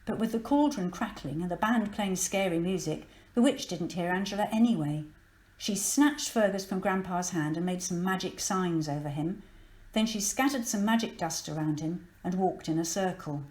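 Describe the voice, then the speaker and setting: A woman's voice: lowish voice, moderate pace, darkish tone, reverberant, poor audio. A woman narrating a children's story from a script, expressively and with dramatic emphasis. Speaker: storybook reader.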